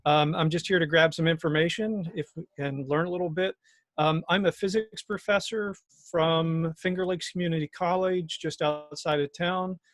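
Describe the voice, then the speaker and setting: A man introducing himself casually on a video call, relaxed and hesitant with frequent pauses. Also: fairly high pitch, dry recording. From Rochester area, New York. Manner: relaxed, hesitant with many ums. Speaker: meetup participant, physics professor.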